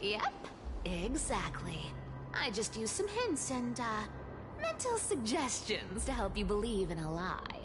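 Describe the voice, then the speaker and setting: An actress voicing a playful, mischievous female trickster in a game, talking in a teasing way with sudden jumps in pitch. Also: wildly swooping pitch, unhurried, over music. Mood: sly.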